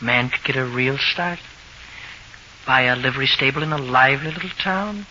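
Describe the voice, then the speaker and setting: An actor playing a young rough-and-ready ex-jockey drifter in a 1940s radio drama, colloquial and guarded. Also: male voice, medium pitch, moderate pace, sharp tone. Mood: gruff, wry. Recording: muffled, noisy old radio broadcast recording.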